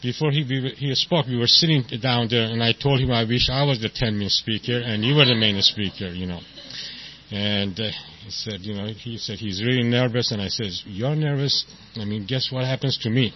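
An older man with an Iranian accent tells his story at a recovery meeting, often pausing, restarting and laughing at himself. The recording is dull and lo-fi, with faint hiss underneath. His pitch sits in an ordinary middle range. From Iran. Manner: halting, self-deprecating, many fillers and restarts. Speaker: main speaker at a recovery meeting. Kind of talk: personal testimony at a meeting. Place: meeting hall with an audience.

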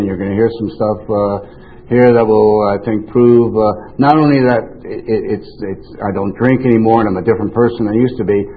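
An older man who grew up speaking French speaks in a fairly low voice through a thin, phone-like recording. He tells his own story to a group informally, circling back and interrupting himself as he goes. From New York, United States. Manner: rambling, self-interrupting storytelling. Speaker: recovery meeting speaker. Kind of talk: informal personal testimony to a group. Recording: tinny band-limited radio-like sound.

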